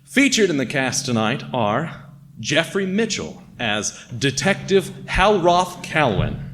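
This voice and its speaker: A man's voice: on the high side, big pitch swings, slow pace, a little hiss or hum. A man hosting live radio theater, announcing the show and cast in a theatrical style. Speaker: host and announcer. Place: library venue with audience. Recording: live stage, loud music and crowd.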